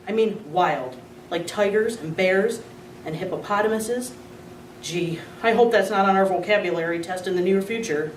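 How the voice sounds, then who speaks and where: A woman's voice: medium pitch, a little hiss or hum. Woman reading a storybook, roomy and distant. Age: adult. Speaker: school secretary reading aloud. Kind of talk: reading a children's novel aloud.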